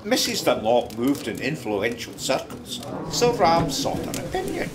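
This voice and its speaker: An actor playing a chatty, wry Scottish storyteller in a radio drama, confiding and colloquial. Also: male voice, medium pitch, wildly swooping pitch, unhurried, noisy background. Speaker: narrator. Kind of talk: conversational radio drama narration.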